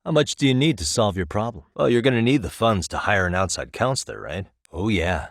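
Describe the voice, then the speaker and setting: A man's voice: fairly low pitch, expressive, brisk, dry recording. A male actor with an American accent playing a wry, easygoing private detective in a video game, talking in a dry, casual, persuasive way. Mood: calm, negotiating. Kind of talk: scripted game dialogue, conversational.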